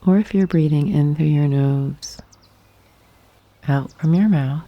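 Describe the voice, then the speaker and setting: A woman guiding a yoga nidra meditation in a soft, breathy half-whisper, gently and calmly, with long pauses. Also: low-pitched, muffled recording. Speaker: meditation guide. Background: birdsong and nature sounds.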